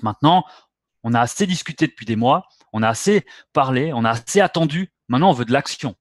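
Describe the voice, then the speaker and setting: A man's voice: mid-range voice, lively intonation, fast, no room sound, clean studio sound. A man hosting a trading webinar, talking bluntly and lecturing his viewers directly. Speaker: webinar host.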